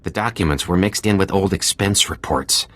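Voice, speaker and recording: A man's voice: low-pitched, moderate pace, a bit bright. An actor playing a sober, cautious male informant in a video game. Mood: sober, concerned.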